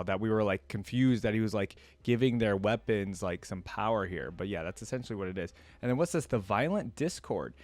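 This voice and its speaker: A man's voice: fairly low pitch, a little mellow, no echo. A young man hosting a reaction video, commenting casually and musing aloud as he goes. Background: faint music.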